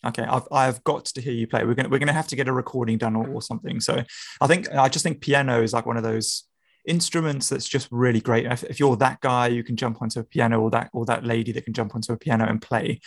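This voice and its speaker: A man's voice: mid-range voice, steady tone, fast talker, creaky. Brief: a male podcast host with a friendly manner who restarts words often. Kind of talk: casual interview.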